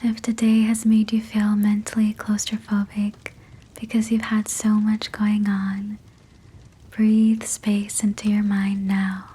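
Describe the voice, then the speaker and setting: Whispering woman guiding sleep hypnosis, very slow. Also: mid-range voice, completely flat delivery, poor audio. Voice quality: breathy, unvoiced whisper. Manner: soothing, gentle, measured phrasing. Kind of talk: guided sleep meditation, whispered.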